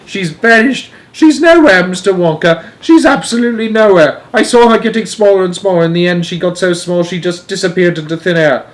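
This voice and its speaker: A man voicing a distraught, wailing middle-aged woman in a storybook, shrill and full of exclamations. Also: brisk, few pauses, close to an everyday voice. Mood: frantic alarm.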